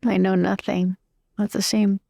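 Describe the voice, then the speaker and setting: A woman's voice: mid-range voice, flat delivery, measured pace, gravelly, dark tone, dry recording, studio quality. A woman playing a tabletop roleplaying game, improvising in a casual, chatty way. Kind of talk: casual improvised in-character chat.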